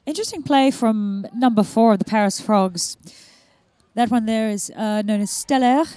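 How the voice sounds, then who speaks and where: A woman's voice: on the high side, normal speed, warm tone, clear, resonant tone, no echo. A woman with a New Zealand accent giving conversational live sports commentary with an inquisitive manner.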